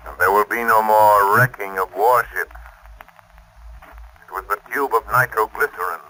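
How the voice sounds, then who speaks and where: An actor playing an invisible crime-fighting avenger with calm menace in a 1930s radio drama, through a filtered voice. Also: male voice, on the low side, moderate pace. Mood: grimly triumphant. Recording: noisy old 1930s radio recording.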